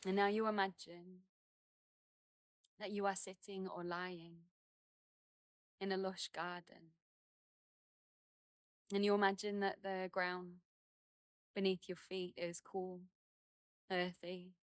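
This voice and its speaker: Woman gently guiding meditation, very even pitch. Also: no echo. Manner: gentle, unhurried phrasing with many pauses. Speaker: meditation guide. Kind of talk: guided meditation, slow and soothing instruction. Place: outdoors in a garden.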